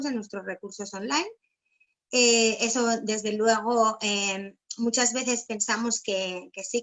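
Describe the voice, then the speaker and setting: A woman's voice: a little high, a bit bright. A woman from Barcelona with a peninsular Spanish accent, presenting an informal online writing workshop as a philologist, explaining things and often checking for agreement with little tag questions. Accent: Spanish from Spain. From Barcelona, Spain.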